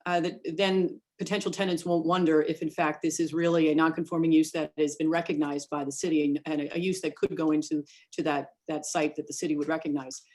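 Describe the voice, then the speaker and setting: A woman attorney making a formal argument for her client at a remote hearing, measured and a little hesitant. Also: low voice, little pitch movement, a little mellow. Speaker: attorney for the applicant.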